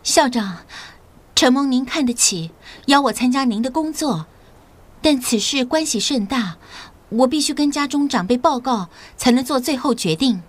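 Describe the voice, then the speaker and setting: A woman's voice: sits high, expressive, normal speed. An actress playing an earnest young female schoolteacher in an audio drama, polite, careful and formal in the way she speaks. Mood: respectful resolve. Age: young adult.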